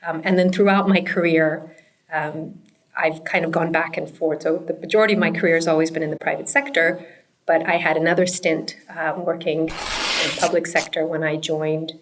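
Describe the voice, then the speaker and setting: A woman's voice: low voice, fairly even intonation, normal speed, a little hiss or hum, poor audio. A woman, answering interview questions in an earnest, reflective, conversational way. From Pakistan and the United States. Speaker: interview guest, startup founder.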